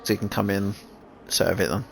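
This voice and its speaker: A man commentating a sim race, thinking aloud in halting restarts, his voice croaky after sneezing. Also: fairly low pitch, steady tone, noisy background.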